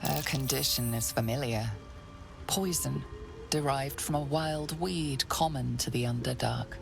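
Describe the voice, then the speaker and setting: A woman's voice: a bass voice, a little slow, gravelly, music in the background, full character voice. A woman narrating a fantasy video game in a scripted style as a grave, ominous storyteller. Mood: grave, measured.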